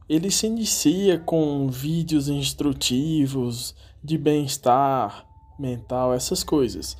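A man's voice: on the high side, a little mellow. A Brazilian man hosting an online video, narrating an informal video essay on horror series. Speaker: YouTube host.

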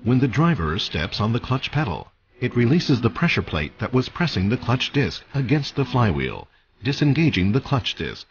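A man narrates a technical training video from a script. His delivery is formal and measured, with a widely varied intonation at an average male pitch. Faint noise sits behind the voice. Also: big pitch swings, muffled recording.

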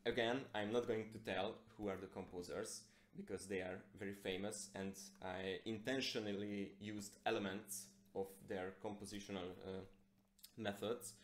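A young man from Hungary with a Hungarian accent, a guitarist and composer introducing a concert in hesitant, halting phrases. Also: fairly low pitch. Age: young adult. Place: plain indoor room. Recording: echoey small room.

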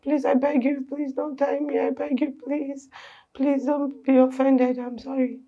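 A woman's voice: mid-range voice, fairly even intonation, moderate pace, slightly rough, phone-quality sound. An actress playing an outspoken young female captive who is cheeky and also pleads, in a film drama. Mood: frightened, pleading. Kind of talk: film acting.

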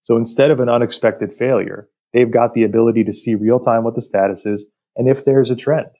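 A man speaking as an expert podcast guest, explaining industrial work in a measured, technical way. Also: medium pitch, fairly even intonation, mellow. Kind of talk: explanatory interview talk about industry.

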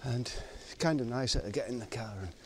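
A man of middle pitch thinks aloud as he cycles along country lanes, wandering from one thought to the next. His voice comes through a bike-mounted camera, with steady wind and road noise behind it. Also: brisk. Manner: musing, wandering, loose and unscripted. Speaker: vlogger. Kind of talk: rambling monologue while cycling. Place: outdoors on a country road and woodland, riding a bike. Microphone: action camera on the bike. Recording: noisy outdoor action-camera audio.

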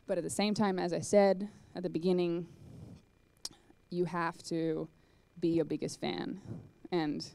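Young female speaker, low gravelly mellow voice, chatty with lots of "like", handheld mic in a cinema hall. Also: little pitch movement, a little slow, a little hiss or hum. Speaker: conference speaker. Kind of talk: live talk and Q&A. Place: large dark cinema hall on stage.

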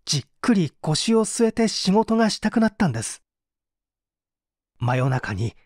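A man's voice: sits high, a little mellow, no echo, clean studio sound. A man playing an anguished painter confessing to an interrogator in a polite, emotive radio-drama reading. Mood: pleading, intense. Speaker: narrator and reader.

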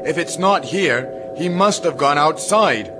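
An actor playing a grave, troubled moon base captain in a radio drama, with a deliberate, commanding manner. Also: male voice, on the high side, lively intonation, background noise. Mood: businesslike, puzzled.

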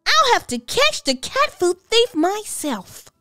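A woman voicing an excited young girl detective in a storybook read-aloud, with a high, squealing, eager voice. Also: slow, no room sound, studio quality, soft background music, exaggerated voice.

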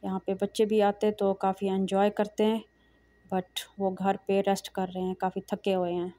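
Soft-spoken middle-aged woman vlogging. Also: mid-range voice, normal speed, dry recording, clean studio sound. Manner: calm, soft-spoken, frequent hesitations and pious phrases. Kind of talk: casual vlog narration. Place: large indoor shopping mall. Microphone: phone camera held while walking.

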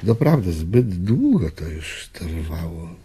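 Slow, mellow, weary old man. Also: low-pitched, big pitch swings, slightly rough, heavily acted. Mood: melancholy, weary. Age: older. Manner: reflective, measured, halting phrases. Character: weary, melancholy old widower haunted by wartime memories. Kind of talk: radio drama acting, reflective monologue.

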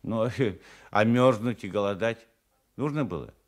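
An actor playing a querulous old peasant husband, hesitant and self-justifying, in a 1980s Soviet radio drama. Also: male voice, lowish voice, moderate pace, natural voice. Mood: sulky. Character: querulous, battered old peasant husband, meek but stubborn.

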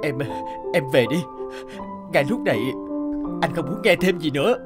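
A man playing an earnest, gentle young working man and devoted son in a cartoon. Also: fairly high pitch, expressive, normal speed, lightly acted. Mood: upset, hurt. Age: young adult. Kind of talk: Vietnamese cartoon dialogue. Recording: loud music under the voice.

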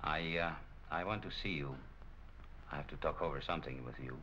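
A middle-aged actor playing a smooth-talking, cynical crime boss in a 1940s film, with a sardonic, casual manner. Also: male voice, a bass voice, a little fast, pushed and bright. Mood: conciliatory. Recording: muffled, noisy 1940 film soundtrack.

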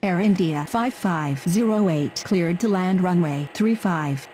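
Lady as ground control in a sim game, low voice, procedural, faint noise behind. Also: measured pace, darkish tone, close to an everyday voice. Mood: businesslike. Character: businesslike female ground control voice in simulator game. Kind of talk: scripted aviation radio phraseology.

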